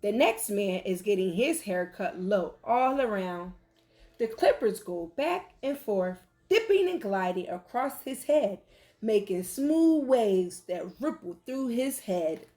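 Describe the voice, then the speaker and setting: A woman reading a picture book aloud like a teacher, with animated, deliberate delivery and questions for young listeners. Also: mid-range voice. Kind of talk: expressive children's read-aloud with questions to listeners.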